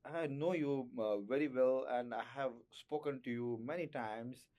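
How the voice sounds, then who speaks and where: A man's voice: mid-range voice, unhurried, darkish tone, highs cut off. A man hosting an interview, asking effusive questions in flowery, repetitive phrasing. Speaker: interview host.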